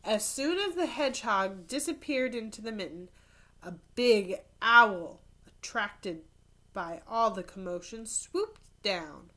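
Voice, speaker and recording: A woman teacher reading a picture book aloud to children in an expressive storytelling style, with casual explanatory asides. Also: mid-range voice, slow. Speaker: third grade teacher reading to pupils.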